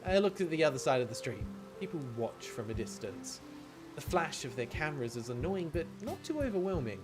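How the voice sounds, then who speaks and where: A man's voice: a little high, sing-song, fairly quick. A man streaming a game, reading visual novel narration aloud and adding casual commentary. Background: music.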